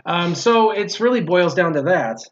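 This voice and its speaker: A man with a fairly high voice chats informally and argues his view firmly. He repeats key points for emphasis, and the audio is somewhat dull and lo-fi. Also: animated, moderate pace, noisy background. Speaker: guest, former mobile game player. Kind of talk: casual opinionated gaming discussion.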